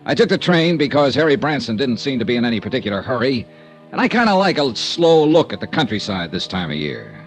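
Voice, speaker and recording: An actor playing a wry, wisecracking freelance insurance investigator who also narrates, in a 1950s radio drama. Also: male voice, mid-range voice, sing-song, fast, soft background music. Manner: wry, joking, laid-back narration. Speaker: lead and narrator.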